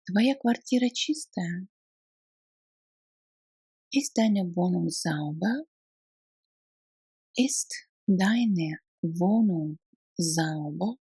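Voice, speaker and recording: A woman reading short phrases for beginners, repeating each one slowly in careful chunks. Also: lowish voice, expressive, dark tone, breathy edge, no echo. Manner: slow, careful phrase-by-phrase repetition. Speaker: language lesson reader.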